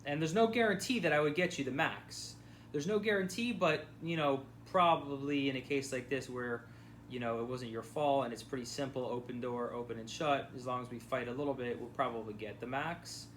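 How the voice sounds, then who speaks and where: A man's voice: medium pitch, fairly quick, a bit bright. A man speaking as a personal injury lawyer, giving casual, blunt advice with repeated phrases and restarts. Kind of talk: casual phone advice to a client. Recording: clear background noise.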